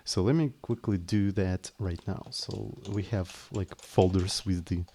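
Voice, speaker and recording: A man's voice: deep voice, fairly even intonation, unhurried, gravelly, dark tone, slightly rough. A man live-streaming his coding, speaking English as a second language in a hesitant, apologetic way with frequent restarts. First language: not English. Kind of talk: casual live stream chat, explaining code.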